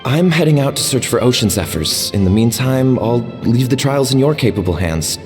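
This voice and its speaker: A man's voice: medium pitch, lively intonation, normal speed, darkish tone, natural voice. Man playing an earnest healer, long reverb. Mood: sincere, hopeful. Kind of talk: video game voice acting, dramatic dialogue. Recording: long reverb, music underneath.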